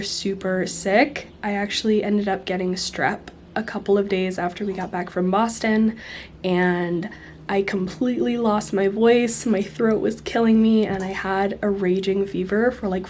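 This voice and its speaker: A woman's voice: medium pitch, fairly even intonation, measured pace, darkish tone, slight background noise. A young woman in her twenties, still congested from being sick, chatting casually about a book she's reading, recorded close on a phone. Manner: chatty, explains at length. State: congested, recovering from illness. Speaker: book vlogger. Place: indoors, lying against pillows. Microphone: phone camera held close.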